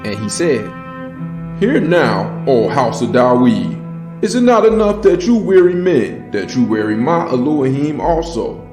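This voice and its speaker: Echoing man solemnly reading scripture, animated pitch. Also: measured pace. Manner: solemn, declamatory, emphatic. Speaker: scripture narrator. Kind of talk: dramatized Bible reading. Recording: echoing voice over background music. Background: background music.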